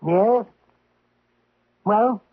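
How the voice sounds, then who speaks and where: An actor playing a testy, impatient provincial magistrate in a 1970s radio drama. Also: male voice, high-pitched, extremely slow, breathy edge, full character voice. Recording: tinny old radio recording.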